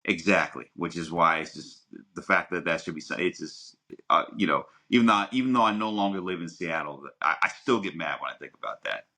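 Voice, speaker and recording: A man's voice: deep voice, animated, bright, forceful tone. A man co-hosting a podcast, talking casually and emphatically, often repeating words as he works through his point. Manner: emphatic, repeats words, halting rambling delivery. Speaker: podcast co-host. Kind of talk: casual opinionated discussion.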